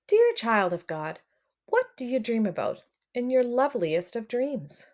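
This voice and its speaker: A woman reading a picture book aloud to children, expressive and addressing them directly as she comments along. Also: a little high, measured pace. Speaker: read-aloud storyteller for children.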